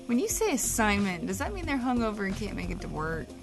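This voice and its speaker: Female guest co-host, played by a woman: blunt, teasing, profane, animated delivery, comedy banter, faint music bed. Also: mid-range voice, quick pace. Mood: teasing curiosity. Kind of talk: comedic audio drama, bantering dialogue.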